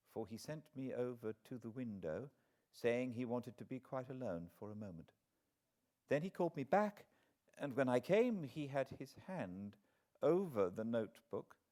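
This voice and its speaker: A man's voice: on the low side, darkish tone. A British man narrating a classic novel as a dramatic audiobook reading. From Britain.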